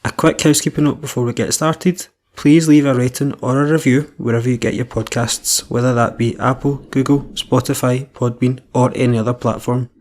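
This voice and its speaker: Young man hosting a podcast. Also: mid-range voice. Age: 20s. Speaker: podcast host. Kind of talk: scripted intro then casual interview.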